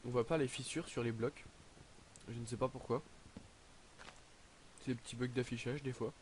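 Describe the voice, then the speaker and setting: A teenage boy talking through his game on a headset at home, hesitant and full of fillers. His voice sits at a middle pitch and is slightly stuffy from a cold. A faint hiss runs under the recording. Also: steady tone, normal speed, darkish tone, rough recording. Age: teens. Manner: hesitant, lots of "donc, euh" fillers. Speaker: gaming YouTuber. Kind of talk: casual game commentary.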